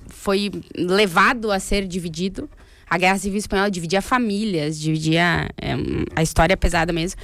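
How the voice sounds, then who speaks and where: A woman's voice: medium pitch, no room sound. A woman, chatting casually about books she reads and laughing easily. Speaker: guest talking about books. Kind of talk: casual chat.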